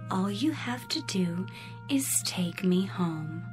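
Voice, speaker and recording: Breathy female ship computer, very slow, coaxing, intimate, devoted, radio play over music. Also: mid-range voice, animated. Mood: seductive, soothing. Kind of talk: dramatic radio play acting.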